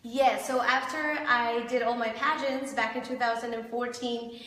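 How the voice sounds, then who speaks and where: A Spanish-speaking woman from Colombia, an interview guest chatting casually in an animated, encouraging, effusive way. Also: on the high side, moderate pace. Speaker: interview guest, pageant director. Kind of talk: casual motivational chat. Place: echoey indoor clothing shop. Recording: very echoey hall-like room.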